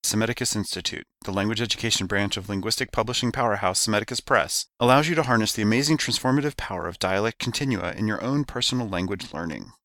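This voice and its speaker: A male announcer delivering a mock advertisement as a deadpan sales pitch, rattling off the disclaimers at high speed. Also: no room sound, studio quality.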